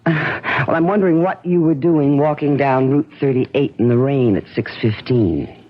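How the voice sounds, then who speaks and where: A woman's voice: extremely low pitch, unhurried. An American actress playing a troubled married woman, an insistent rescuer with suspicions, in 1970s radio drama style on a muffled old broadcast. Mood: shaken, probing.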